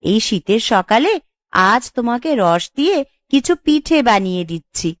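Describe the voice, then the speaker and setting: A woman plays a caring cartoon village wife. Her voice is fairly high with animated rises and falls. She sounds earnest and emotive, recorded close and dry. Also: normal speed, no room sound. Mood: reassuring. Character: caring, expressive village woman in a family drama. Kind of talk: cartoon voice acting.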